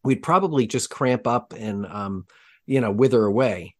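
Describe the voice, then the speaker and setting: A man hosting a trail running podcast, talking casually in rambling, halting sentences full of ums. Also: mid-range voice. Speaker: podcast host. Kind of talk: casual chat.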